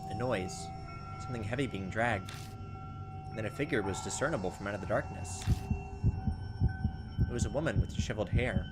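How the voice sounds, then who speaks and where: A man's voice: lowish voice, brisk, long pauses. A man hosting a gaming video, reading visual novel text aloud and adding casual asides. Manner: slips into acted character voices between narration. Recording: game music behind the voice. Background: video game music.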